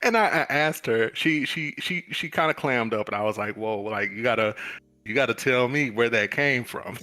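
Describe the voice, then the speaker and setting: A man's voice: mid-range voice, moderate pace, bright, forceful tone. A man chatting casually on a livestream, hesitant and often laughing as he tells a story.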